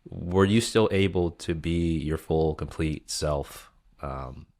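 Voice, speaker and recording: A man's voice: rumbling low, takes it slowly, darkish tone. A man hosting a casual podcast interview, asking long, rambling questions that he corrects as he goes. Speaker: podcast host.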